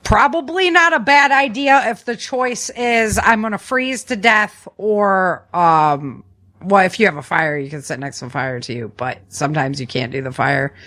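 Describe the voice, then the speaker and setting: A woman podcast host with a mid-pitched voice talks through practical survival advice. She sounds conversational and informal, working from notes while instructing the listener, and often seeks agreement as she goes. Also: normal speed, sharp tone.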